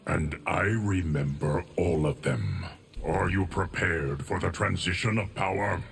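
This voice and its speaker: An actor playing an ancient, stoic immortal guardian of time in a fighting game, speaking in formal, measured, portentous lines. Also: male voice, sits low, vocal fry, a little hiss or hum. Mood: grave. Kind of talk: fighting game character dialogue. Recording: long reverb, music behind.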